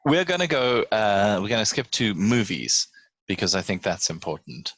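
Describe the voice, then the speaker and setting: A man's voice: lowish voice, big pitch swings, normal speed, a bit bright, no room sound. A man hosting a podcast in casual banter, teasing and sarcastic with his guests. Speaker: podcast host.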